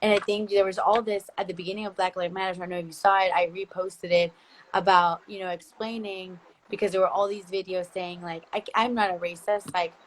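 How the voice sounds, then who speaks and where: A woman's voice: mid-range voice, little pitch movement, a little fast, poor audio. A woman from Costa Rica interviewing a guest in a casual livestream chat, talking in long rambling turns with frequent restarts. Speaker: interviewer, company founder. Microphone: phone, video call.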